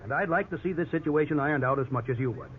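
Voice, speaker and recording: Actor as defensive realtor on tinny old radio. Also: male voice, a little high, fast, lightly acted. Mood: defensive, insistent. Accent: American. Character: defensive but conciliatory male real estate agent. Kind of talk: 1950s radio drama acting. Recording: tinny, noisy 1950s radio recording.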